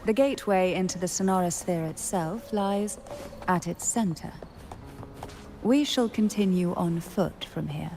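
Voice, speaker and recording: An actress playing a composed, enigmatic noblewoman poisoner in a video game, speaking formally and with measured deliberation. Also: lowish voice, mellow, slight background noise. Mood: composed, grave. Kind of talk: scripted video game character acting. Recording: game music behind the voice. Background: game music.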